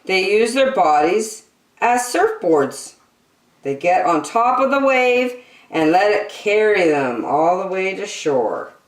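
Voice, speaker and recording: A woman's voice: mid-range voice, big pitch swings, slow pace, echoey room. A woman hosting story time, reading a picture book aloud to young children expressively, with chatty asides and questions.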